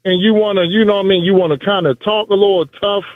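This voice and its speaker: Blunt forty-something caller on a phone line. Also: male voice, high-pitched, fairly even intonation, quick pace, dry recording. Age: 40s. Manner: emphatic, blunt, says bro a lot. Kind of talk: call-in sports talk. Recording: dry tinny phone line.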